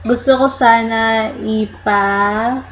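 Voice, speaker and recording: A woman with a Filipino accent walking viewers through an online process, explaining slowly and patiently while mixing English into Tagalog. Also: fairly high pitch, steady tone, a bit bright, dull audio, slight background noise. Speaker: tutorial host. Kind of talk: casual screen-recorded walkthrough.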